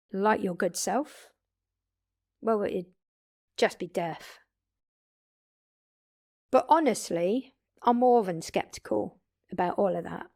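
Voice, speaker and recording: A woman's voice: mid-range voice, darkish tone. Woman playing a casual, wry vampire mentor. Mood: explanatory, skeptical. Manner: chatty, informal explaining with occasional swearing. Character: centuries-wise female vampire, casual, confident, wry mentor. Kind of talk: intimate audio roleplay acting, addressing one listener.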